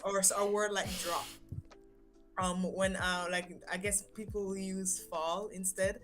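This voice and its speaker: A woman chatting on a stream as co-host, telling stories in an animated way. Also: measured pace, music in the background. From Jamaica. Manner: animated storytelling, jumps high when quoting. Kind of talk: casual chat.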